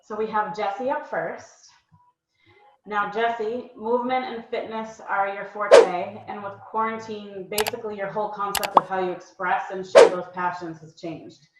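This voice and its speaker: A woman's voice: medium pitch, steady tone, normal speed, far from the mic, very noisy. A woman hosting a panel discussion, introducing guests and responding with encouraging praise. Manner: enthusiastic and encouraging. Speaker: host. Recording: roomy, slightly dull sound.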